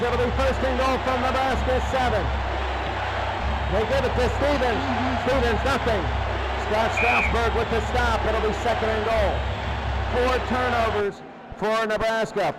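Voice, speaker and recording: Male football play-by-play caller, high-pitched, excitable and repetitive, over a loud noisy background. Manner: excitable, short repetitive phrases. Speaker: play-by-play sports commentator. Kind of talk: American football radio-style commentary.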